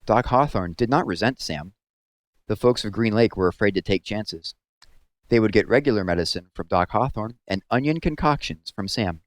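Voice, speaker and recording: Low-voiced man reading a novel aloud. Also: lively intonation, normal speed, dry recording. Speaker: read-aloud narrator. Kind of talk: scripted storybook narration.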